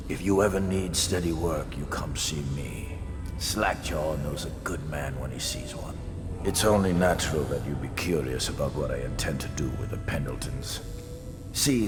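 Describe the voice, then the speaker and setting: An extremely deep-voiced male gang boss talks in a reverberant hall with music behind. He is menacing and self-satisfied, with rough, folksy street speech delivered deliberately, as in a game cutscene. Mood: pleased. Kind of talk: video game cutscene acting.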